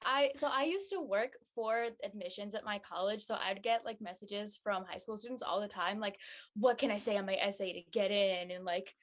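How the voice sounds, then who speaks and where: Young woman, medium-pitched, chatting. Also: normal speed. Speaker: panelist. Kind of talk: casual chat.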